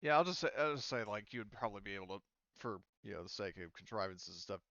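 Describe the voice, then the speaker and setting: A man with a smooth, clean voice runs a tabletop game as its game master. He works out his rulings aloud, hesitant and casual. The audio is dry and slightly dull. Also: lowish voice, animated, quick pace, a bit bright, dry recording. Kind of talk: improvised tabletop game narration.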